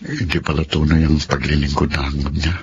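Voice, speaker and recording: An actor with a Filipino accent playing a calm, principled married doctor, earnest and polite, in a radio drama. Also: male voice, extremely low pitch, fast talker. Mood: gently firm. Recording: dull lo-fi audio with background noise.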